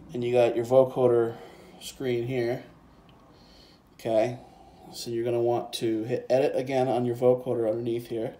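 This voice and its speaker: Mellow-voiced man giving a casual gear tutorial. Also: medium pitch. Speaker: presenter of a music gear tutorial. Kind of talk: casual hands-on software and synth demonstration. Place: indoors beside a synthesizer keyboard. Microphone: handheld phone and separate handheld microphone.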